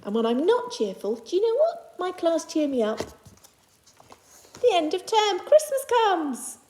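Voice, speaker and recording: A woman's voice: very high voice, wildly swooping pitch, slow pace, a little mellow. A woman teacher reading a children's picture book aloud to young pupils, phrasing it simply and adding reassuring asides in her own words.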